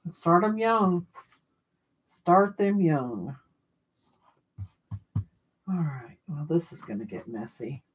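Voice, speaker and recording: A craft livestreamer with a loud voice, rambling and thinking aloud while working at a home desk, mic set off the desk. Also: mid-range voice, a little hiss or hum, poor audio. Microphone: mic kept off the desk, away from the speaker.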